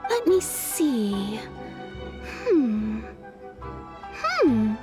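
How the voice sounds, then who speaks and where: A woman's voice: a little high, wildly swooping pitch, extremely slow, music in the background, full character voice. A woman playing a courteous, welcoming kimono shopkeeper in an anime-style game, with a polite sing-song delivery.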